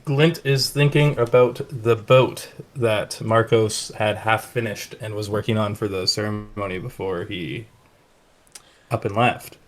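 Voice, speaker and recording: A man playing a tabletop game, describing his character and calmly asking the group questions. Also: lowish voice, a little slow. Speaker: player.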